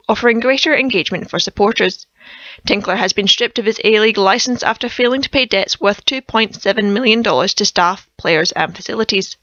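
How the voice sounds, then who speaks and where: A woman's voice: medium pitch, a bit bright, dry recording. An Australian woman reading a scripted sports bulletin for radio news. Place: dry radio studio. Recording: slightly dull, muffled radio audio.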